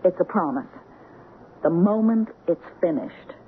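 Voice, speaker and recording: An actress with an American accent playing a dreamy, strong-willed wife, intense and halting in a 1970s radio drama. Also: female voice, on the low side, lively intonation. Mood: entranced, determined. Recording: tinny, noisy 1970s radio broadcast recording.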